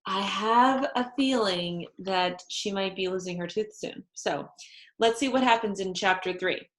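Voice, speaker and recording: A woman reading a children's storybook aloud with deliberate, dramatized, expressive phrasing for young listeners. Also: medium pitch. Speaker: storybook reader. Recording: echoey room.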